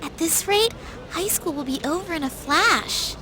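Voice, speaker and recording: Very high, animated schoolgirl. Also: unhurried, noisy background. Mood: breezy griping. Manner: casual, expressive. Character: chatty, outspoken high school girl, friendly classmate. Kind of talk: video game voice acting.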